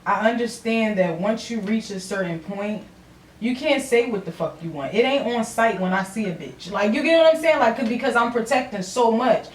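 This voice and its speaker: Philly woman just chatting, high voice, blunt and sweary, roomy sound a bit far from the mic. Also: expressive, normal speed. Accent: Philadelphia. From Philadelphia, US. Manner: blunt, streetwise, slangy, swears freely. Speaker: podcast guest, rapper. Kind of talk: casual chat. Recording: roomy, distant mic, faint noise.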